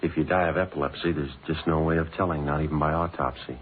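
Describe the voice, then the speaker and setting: An actor playing a stoic, courteous American police detective in a radio drama, clipped, understated and deadpan. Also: male voice, very deep voice, fast talker, phone-quality sound. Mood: gently matter-of-fact. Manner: clipped, matter-of-fact, deadpan. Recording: old band-limited radio broadcast, hissy.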